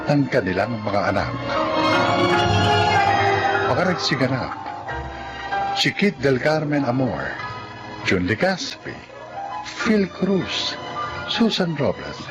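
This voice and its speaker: Male announcer for radio drama, solemn deliberate narration, expressive swings in pitch, prominent music underneath. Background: background music.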